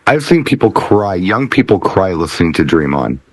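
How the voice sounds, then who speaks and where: A man's voice: low voice, big pitch swings, normal speed, no room sound. A man hosting a casual panel chat, conversational and often circling back to rephrase his points. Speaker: talk show host, DJ.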